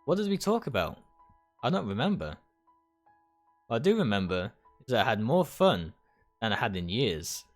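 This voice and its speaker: A man's voice: mid-range voice, sing-song, normal speed, long pauses. A man reading first-person narration aloud, stumbling over words now and then and rereading phrases. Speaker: game streamer reading a visual novel aloud. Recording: music behind the voice.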